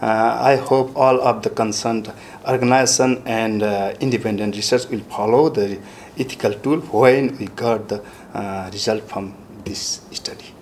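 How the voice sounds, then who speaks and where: A man's voice: lowish voice, fairly even intonation, unhurried, a little mellow, slight background noise. A man with a Nepali accent giving a short testimonial as a researcher.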